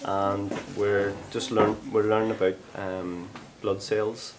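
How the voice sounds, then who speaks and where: A man's voice: fairly low pitch, flat delivery, slow, crowd noise. A man, a science teacher speaking casually, a little flustered, in his classroom. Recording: noisy classroom room tone.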